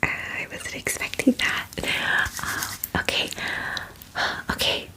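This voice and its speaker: A woman's voice: takes it slowly, bright, forceful tone. A woman voicing a friendly, doting female dragon in a whispered ASMR fantasy roleplay, with gushing, hesitant, filler-heavy chatter. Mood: flustered, eager. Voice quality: breathy whisper.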